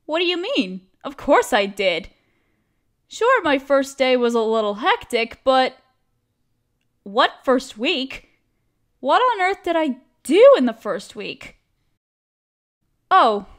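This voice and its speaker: A cute young female barista played by a woman with a high, girlish voice. She chats expressively in a casual audio roleplay, a friendly coworker who teases and complains playfully. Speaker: voice actress. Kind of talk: scripted one-sided audio roleplay, casual chat.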